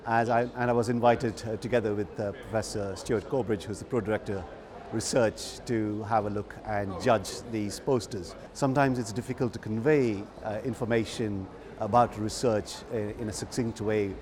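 A middle-aged man, a dean judging posters, speaking in a measured way with some hesitant fillers. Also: fairly low pitch, fairly even intonation. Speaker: dean of graduate studies, poster judge. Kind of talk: short interview at an event. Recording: chattering crowd behind.

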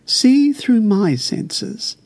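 A man doing a soft-spoken gentle lady. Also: high voice, big pitch swings, drawn-out words, gravelly, pitched above the performer's own voice, heavily acted. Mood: coaxing. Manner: soft, coaxing.

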